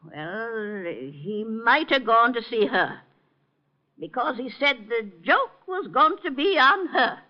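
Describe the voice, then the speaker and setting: A man's voice: extremely high pitch, animated, takes it slowly, heavily acted. An actor playing a cranky, indignant male rooming-house keeper in 1950s radio drama, with folksy, grumbling, uneducated speech. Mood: grudging, irritated. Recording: muffled 1950 radio broadcast recording.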